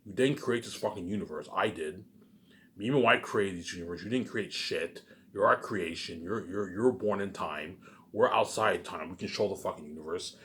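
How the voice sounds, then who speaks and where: A man's voice: low-pitched, normal speed, a bit bright. A man ranting alone to his viewers, profane and boastful, who keeps circling back with repeated words. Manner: repetitive, keeps adding "obviously". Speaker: self-published writer vlogger. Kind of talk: rambling solo rant to viewers.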